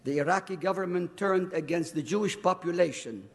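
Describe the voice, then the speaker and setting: A man in his eighties, a native Arabic speaker from Iraq, giving prepared testimony at a council meeting, reading slowly and deliberately. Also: sits high. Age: 80s. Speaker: invited speaker. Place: large council chamber. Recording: long hall reverb.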